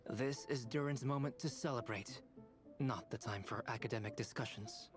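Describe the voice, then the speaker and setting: A man voicing a courteous, gently reassuring young scholar in a video game, measured and formal. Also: mid-range voice, music in the background, lightly acted. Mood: calm, reassuring.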